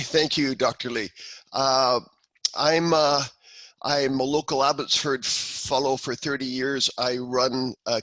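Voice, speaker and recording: Bright-voiced man, hesitant on a call. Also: medium pitch, dry recording, low-quality recording. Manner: hesitant, stammering restarts. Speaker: community member, political party treasurer. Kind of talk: introducing himself on a video call. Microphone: video call.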